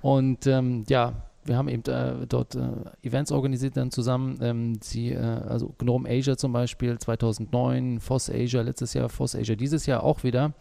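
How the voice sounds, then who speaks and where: A man's voice: medium pitch, flat delivery, normal speed, vocal fry, hardly any pauses, dark tone. A man as the interview guest, a small business owner, talking through his work in a hesitant way with many fillers. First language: German. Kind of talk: conversational interview.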